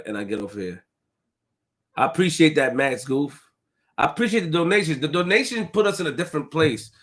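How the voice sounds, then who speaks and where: A man hosting a casual livestream, shouting out viewers, reading donations and repeating phrases to his chat. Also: a little high. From Haitian family roots. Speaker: livestream host.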